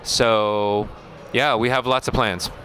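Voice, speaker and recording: An American man, a film director, talking through a festival interview in a relaxed, thoughtful way with many pauses and fillers. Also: fairly low pitch, a little hiss or hum. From United States.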